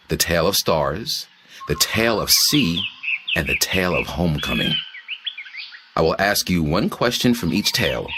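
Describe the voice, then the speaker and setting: An actor playing a stern, formal male guard who questions visitors, in video game dialogue. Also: sits low. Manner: formal, commanding, solemn. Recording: noisy game audio.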